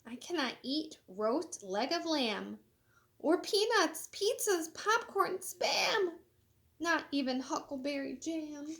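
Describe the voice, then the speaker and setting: Woman voicing a high, plaintive storybook clam. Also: sing-song, slow, creaky, a bit bright, exaggerated voice. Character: toothless female clam, plaintive and complaining.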